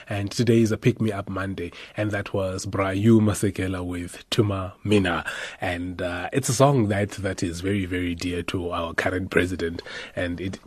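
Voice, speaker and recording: Hoarse low-voiced male radio host. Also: darkish tone, dry recording. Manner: upbeat, with many filler pauses. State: hoarse and croaky from a cold. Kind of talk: radio drive-show presenting. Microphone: studio microphone.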